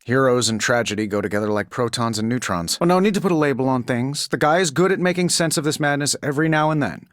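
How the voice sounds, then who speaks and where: An actor playing a cocky, wisecracking billionaire inventor superhero in a video game, with a glib, sarcastic and self-assured delivery. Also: male voice, mid-range voice, expressive, quick pace, few pauses, fairly bright tone, no echo, studio quality, close to an everyday voice. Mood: wry, lower-key.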